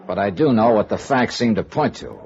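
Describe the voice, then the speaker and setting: An actor playing a cool, world-weary male insurance investigator in 1950s radio noir style, with a dry, probing, measured delivery. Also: fairly low pitch, animated, darkish tone. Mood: dry, insistent. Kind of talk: 1950s radio noir acting and first-person narration. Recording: dull vintage radio recording with music.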